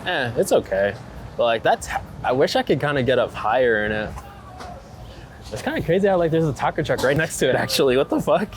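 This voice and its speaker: A man's voice: medium pitch, big pitch swings, a little fast. A man talking casually and enthusiastically in an unscripted interview, informal and slangy, peppering his sentences with "like". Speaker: interviewed street photographer. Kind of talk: casual chat, unscripted interview while out walking. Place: outdoors on city streets. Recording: loud urban street ambience, traffic noise. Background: city traffic and street ambience.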